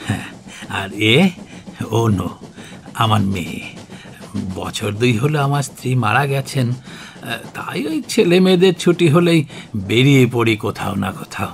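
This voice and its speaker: A man plays a middle-aged widowed father in a radio drama. His voice has a gravelly creak at a medium pitch. He is kindly and a little fussy, halting and doubling back on his words. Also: lively intonation, a little hiss or hum. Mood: casual, weary. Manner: hesitant, halting, repeats words. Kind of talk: Bengali radio drama acting.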